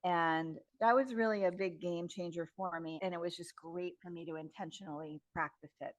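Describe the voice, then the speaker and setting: A woman's voice: on the low side, fairly even intonation, normal speed, no room sound. A woman sharing personal reflections as a member of a group giving a testimonial.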